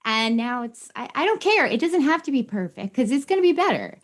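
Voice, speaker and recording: A woman's voice: high-pitched, sing-song, brisk. A woman chatting casually as a podcast guest, candid, informal and enthusiastic. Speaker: podcast guest, beginning writer. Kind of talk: casual chat.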